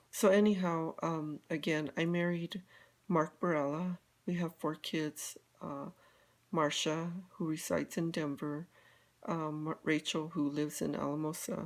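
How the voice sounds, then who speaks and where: Low, gravelly older woman chatting. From Colorado, USA. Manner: rambling, many fillers and restarts. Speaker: beauty guide vlogger. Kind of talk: casual live video chat.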